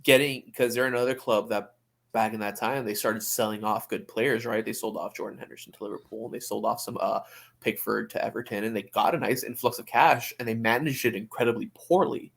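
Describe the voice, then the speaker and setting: A man's voice: on the low side, fairly even intonation, fairly quick, vocal fry. A man co-hosting a sports podcast in casual, opinionated conversation, often restarting his phrases. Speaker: soccer podcast co-host.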